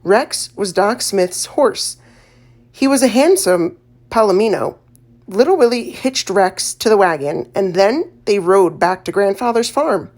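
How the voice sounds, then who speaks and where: A woman's voice: medium pitch, unhurried. Woman narrating a children's audiobook. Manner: expressive storytelling. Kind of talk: scripted children's story narration.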